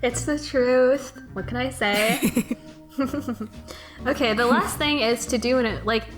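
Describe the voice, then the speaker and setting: A young woman hosting a Q&A in casual, gushing chat, excitable and prone to giggling. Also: fairly high pitch, slow, faint music behind. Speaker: interviewer, host of a fan dub Q&A.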